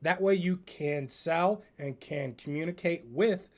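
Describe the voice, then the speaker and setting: A man demonstrating software in a casual spoken tutorial. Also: a little high, measured pace.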